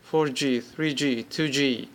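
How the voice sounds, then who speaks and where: A man giving an informal, unscripted product demonstration, speaking haltingly in short pieced-together bursts. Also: medium pitch, steady tone. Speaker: app demonstrator.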